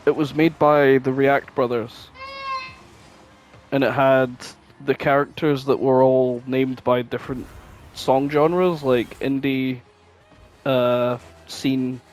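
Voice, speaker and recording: A man's voice: mid-range voice, fairly even intonation, takes it slowly, a little mellow, soft background music, rough recording. A man co-hosting a podcast in casual, informal chat with plenty of swearing. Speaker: podcast co-host.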